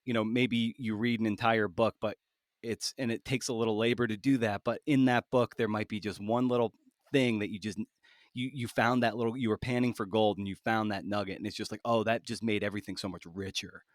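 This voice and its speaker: A man's voice: fairly low pitch, steady tone, brisk, no room sound, studio quality. A man hosting a podcast interview in a casual, conversational way, feeling his way toward each phrase as he rambles.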